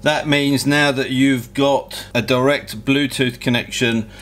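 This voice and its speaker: A man's voice: mid-range voice, steady tone, slow, fairly bright tone. A man giving a hands-on product review in a practical, matter-of-fact, explanatory way. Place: indoor motorcycle workshop bench.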